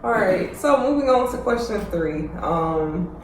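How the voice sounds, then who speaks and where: A woman reading out discussion questions to a casual roundtable, slow and lively in tone, in an echoey room. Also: medium pitch. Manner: reads questions slowly with lively swoops. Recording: echoey room, faint noise.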